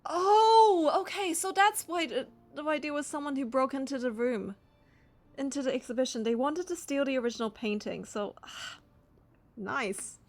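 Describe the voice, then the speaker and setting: A woman's voice: sits high, unhurried. A woman reading a video game's story text aloud, with casual asides as she plays.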